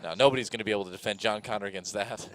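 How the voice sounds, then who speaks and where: Guy doing esports commentary, just goofing around in relaxed chatty banter, animated and jokey. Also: lowish voice, very fast. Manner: joking, animated riffing. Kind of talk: casual banter on a broadcast.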